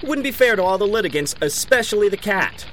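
Big-city mayor: high-pitched, evasive politician, stammering official jargon, comedy drama, slight background noise. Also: male voice, lively intonation, quick pace, fairly bright tone. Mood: defensive. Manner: hedging officialese, stammering, defensive repetition. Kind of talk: mock press conference in a comedic audio drama.

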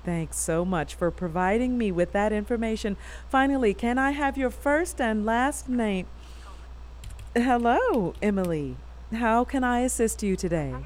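A woman's voice: on the high side, expressive, unhurried, a little mellow. A woman playing a harried young customer support worker in a naturalistic audio drama, courteous over frayed patience. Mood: forced politeness. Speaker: actor in an audio fiction podcast.

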